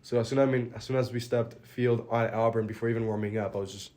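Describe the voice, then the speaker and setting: A young man from the Dominican Republic, a college baseball pitcher, answering interview questions in a relaxed, plainspoken way and letting his phrases trail off quietly. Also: fast. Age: young adult.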